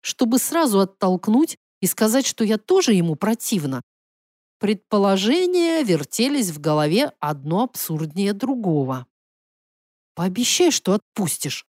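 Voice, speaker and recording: A woman narrating first-person fiction as an audiobook reader, in an expressive, dramatic and emotive manner. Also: medium pitch, normal speed, no echo, clean studio sound.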